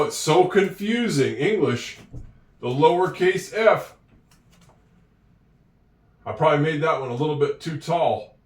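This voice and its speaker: A man's voice: a little high, recorded from a distance. A man from Oregon tutoring a child in handwriting, chatty and encouraging as he talks straight to the viewer. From Oregon, USA. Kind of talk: slow, patient writing lesson. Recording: echoey room, voice away from the mic.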